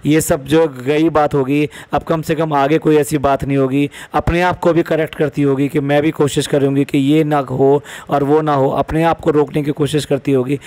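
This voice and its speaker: Higher-pitched man giving emphatic commentary. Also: darkish tone. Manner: emphatic, repeats phrases and asks rhetorical questions. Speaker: commentary vlogger. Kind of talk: opinionated reaction commentary.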